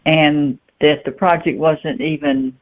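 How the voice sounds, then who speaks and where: A woman giving plain-spoken, halting answers as a guest novelist in a conversational interview. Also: sits low, steady tone. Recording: muffled, thin phone-line sound.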